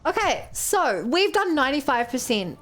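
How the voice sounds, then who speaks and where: A chatty woman with a New Zealand accent, playing a game on stream and thinking aloud as she answers her chat. Her voice sits a little high, with faint game music under it. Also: sing-song, moderate pace, fairly bright tone. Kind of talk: casual gameplay commentary.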